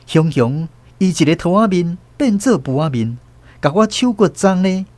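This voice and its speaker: Animated Taiwanese-accented male story narrator. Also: sits high, moderate pace, dry recording. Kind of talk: scripted literary story narration for radio drama.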